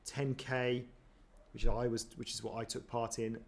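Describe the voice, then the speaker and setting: A man's voice: medium pitch, little pitch movement, a little slow, gravelly. Chatty man reacting to a video. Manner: chatty and self-deprecating, many ums and restarts. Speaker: running vlogger. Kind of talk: casual reaction commentary.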